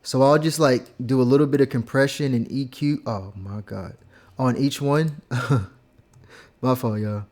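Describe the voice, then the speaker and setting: Male tutorial host, relaxed and rambling, casual with profanity, home studio. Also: medium pitch, unhurried. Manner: laid-back, rambling, with fillers and casual swearing. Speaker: music producer teaching a tutorial. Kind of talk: casual screen-share tutorial.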